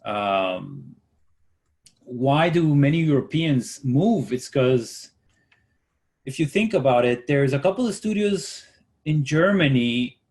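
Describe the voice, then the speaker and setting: A man's voice: mid-range voice, slow pace. Man, forties, spanish native speaker, workshop instructor, laid-back rambling talk, ums and restarts. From Latin America. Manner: relaxed, rambling, many fillers and restarts. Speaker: workshop instructor, concept artist. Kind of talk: casual online workshop talk.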